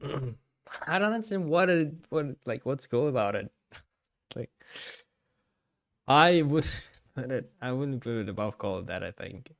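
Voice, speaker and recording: A man's voice: mid-range voice, animated, a little slow, pauses often. A man giving casual livestream commentary in a hesitant way, often repeating himself. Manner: hesitant, repeats himself, frequent throat clearing.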